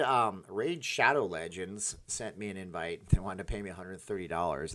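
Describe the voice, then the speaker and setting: A talkative man with a slightly low voice hosts a casual livestream, reading out chat messages. He rambles and restarts his sentences, piling on fillers as he answers viewers. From part Ukrainian, Polish, Irish, Scottish heritage.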